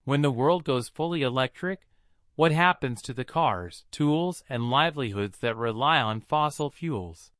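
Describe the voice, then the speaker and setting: Smooth-voiced man reading aloud. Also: medium pitch, animated, unhurried, fairly bright tone, dry recording, studio quality. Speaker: exam practice reader. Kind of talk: scripted read-aloud narration.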